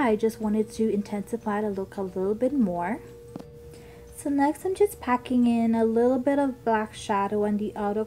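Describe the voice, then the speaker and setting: Young woman doing a makeup tutorial voiceover, childlike voice, soft mellow tone, chatty with lots of ums. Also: medium pitch. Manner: chatty, upbeat product talk with ums. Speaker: beauty vlogger.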